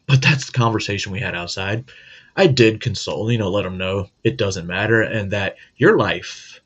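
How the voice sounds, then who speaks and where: A man's voice: fairly low pitch, normal speed, a bit bright, husky, highs cut off. A middle-aged man hosting a casual monologue, blunt, profane and joking. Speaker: host.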